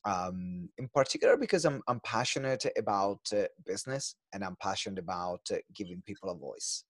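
A man's voice: sits low, lively intonation, fairly quick, no room sound. A man from Italy with an Italian accent, a business owner answering interview questions with energy and lots of ums and uhs.

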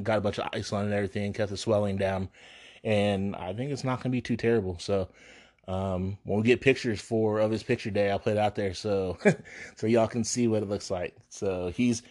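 A man in his thirties hosting his own podcast in a relaxed, rambling way, his voice hoarse from a cold, with frequent ums and the odd cough. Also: mid-range voice. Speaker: podcast host. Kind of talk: casual solo podcast chat.

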